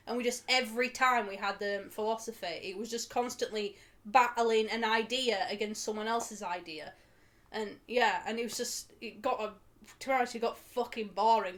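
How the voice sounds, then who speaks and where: A woman's voice: on the high side, fairly bright tone. A woman chatting casually on a stream, talking in loose run-on sentences full of "like". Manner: chatty, lots of "like" fillers. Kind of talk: casual chat on a live stream.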